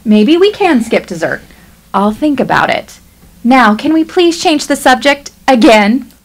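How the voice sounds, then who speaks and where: Wry, expressive long-married wife. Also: female voice, sits high, unhurried, exaggerated voice. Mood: wry, exasperated. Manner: insistent, wry. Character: exasperated, pragmatic long-married middle-aged wife. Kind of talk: stage-style radio play acting.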